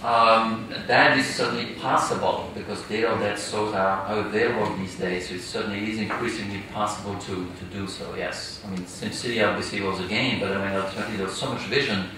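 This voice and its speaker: A man's voice: lowish voice, steady tone, a little fast, hardly any pauses. A man speaking on a conference panel, hesitant, with many fillers and restarts. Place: large conference hall. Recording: echoey hall, distant mic, noisy.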